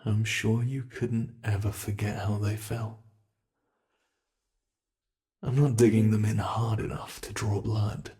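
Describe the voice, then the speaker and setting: A British man voicing a sadistic male monster from under the bed, speaking slowly and menacingly in an ASMR horror roleplay, often dropping to whispers. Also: on the low side, flat delivery, mellow. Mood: taunting. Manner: slow, taunting, menacing, drifting into whispers.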